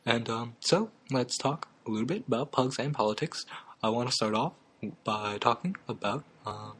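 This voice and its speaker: Picture a young man with a mid-pitched, ordinary voice, hosting a mock talk show. He stumbles through every sentence with ums and uhs, keeping a straight face however absurd things get. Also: a bit bright, breathy edge. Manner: halting, full of ums and uhs. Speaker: comedy talk show host. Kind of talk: absurdist deadpan comedy chat.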